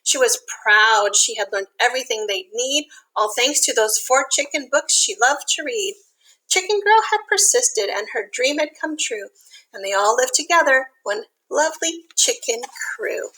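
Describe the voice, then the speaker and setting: A woman reading a picture book aloud to young children, expressive, with simple explanatory asides. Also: fairly high pitch, moderate pace, poor audio.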